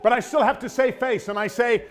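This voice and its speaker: An actor playing a troubled, dutiful middle-aged public prosecutor and father, in an intense, deliberate theatrical monologue. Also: very high voice, fairly even intonation, soft background music. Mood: defensive, agitated. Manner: intense, deliberate, with dramatic swells and drops.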